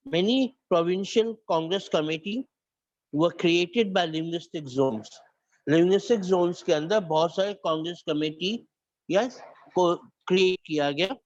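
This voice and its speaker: Indian guy teaching class, high-ish voice, muffled dry recording, keeps restarting sentences. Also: no echo. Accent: Indian English, north Indian. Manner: repeats and restarts phrases, dictates points to students. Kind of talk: classroom lecture, mixing English and Hindi.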